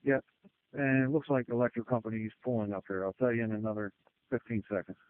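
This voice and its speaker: A man's voice: mid-range voice, fast talker, a little hiss or hum. Terse male fire officer on narrowband radio. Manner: terse, procedural, matter-of-fact. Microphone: two-way radio. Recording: phone-like narrowband two-way radio.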